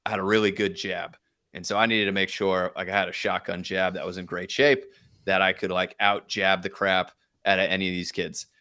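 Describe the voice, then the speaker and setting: A man's voice: sits low, little pitch movement, brisk, sharp tone. A man, a podcast guest, telling stories casually in a self-deprecating, joking way. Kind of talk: casual conversational storytelling.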